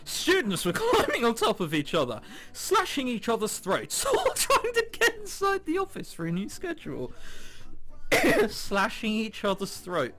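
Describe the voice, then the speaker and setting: A man doing a let's play of a visual novel, reading aloud and reacting in a giggly, excitable way. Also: extremely high pitch, measured pace. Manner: giggly, excitable, often breaking into laughter while reading. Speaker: video game commentator. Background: game background music.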